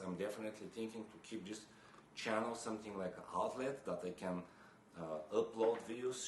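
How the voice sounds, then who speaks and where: A young man from Bulgaria, talking casually to camera about photography, hesitant with many fillers and restarts. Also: fairly low pitch. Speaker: photography vlogger. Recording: echoey room with faint noise.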